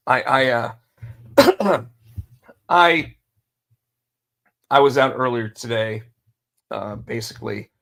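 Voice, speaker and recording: A man with a gravelly, crackling voice hosts a casual podcast on his own. He is under the weather and losing his voice, so he clears his throat often. He rambles along with fillers and restarts at an ordinary middle pitch. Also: a bit bright. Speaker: podcast host. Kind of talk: solo casual wrestling podcast talk.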